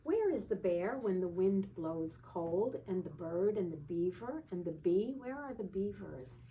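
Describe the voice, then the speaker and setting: Woman, children's storytime teacher, unhurried delivery, relaxed warm timbre, gentle questioning manner, recorded a little way from the mic. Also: lowish voice. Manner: gentle teacherly, often asking tag questions. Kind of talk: storytime read-aloud with questions to young children. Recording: distant mic with faint background noise.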